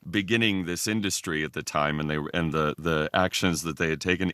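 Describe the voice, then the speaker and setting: A man talking casually as a podcast guest, halting as he thinks aloud, with a self-deprecating manner. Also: on the low side, bright, forceful tone, dry recording, studio quality. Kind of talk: casual conversation.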